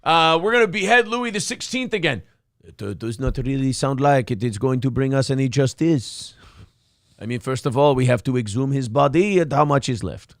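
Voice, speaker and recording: A man's voice: medium pitch, normal speed, dry recording, studio quality. A man co-hosting a morning show with casual, profane comedic banter about the news. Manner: profane, joking.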